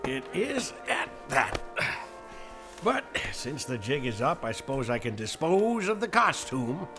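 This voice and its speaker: An actor playing a hearty, gruff but tender frontier prospector in an American Western radio drama, with a folksy way of talking. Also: male voice, medium pitch, big pitch swings, unhurried, music in the background. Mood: wry, friendly.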